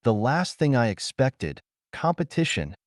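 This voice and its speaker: A man's voice: mid-range voice, very animated, measured pace, dry recording, voice pushed up. Wry, jealous young pulp hero read by a male narrator, sarcastic grumbles with swinging intonation, dry sound. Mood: jealous, sulky.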